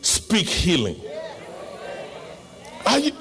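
Nigerian-accented male pastor, middle-aged, high lively voice, slow emphatic delivery that breaks into shouts. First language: Yoruba. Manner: emphatic preaching, bursts into shouting. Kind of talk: sermon.

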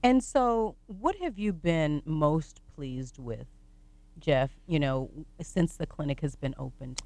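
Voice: medium pitch, expressive, slow pace, darkish tone, no echo. A radio show host interviewing a guest, affirming often and telling a personal story. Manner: affirming, frequent backchannel.

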